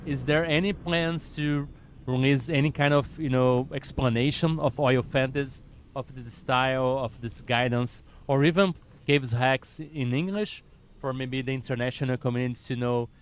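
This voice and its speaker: A man hosting a casual podcast conversation, animated and chatty, with lots of "you know" fillers and occasional swearing. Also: medium pitch, normal speed, slight background noise. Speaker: podcast host.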